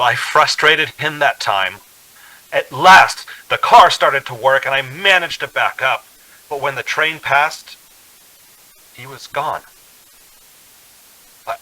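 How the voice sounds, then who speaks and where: A man's voice: mid-range voice, pushed and bright, poor audio. An actor playing a haunted, anxious young man driving cross-country, speaking in a tense, hesitant radio drama style. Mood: shaken, desperate. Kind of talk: old-time radio drama acting with narration.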